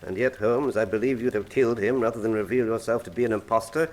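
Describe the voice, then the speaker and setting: An actor playing an urbane, ruthless professor of crime in a radio drama, pompous and mock-courteous. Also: male voice, lowish voice, fast talker, close to an everyday voice, poor audio. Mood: silky menace. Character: urbane, ruthless criminal mastermind, male professor.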